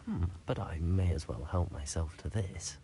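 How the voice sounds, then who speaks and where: An actor playing a brave, curious young student wizard in a video game. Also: male voice, low-pitched, animated, normal speed, dark tone, slight background noise. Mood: musing, hushed.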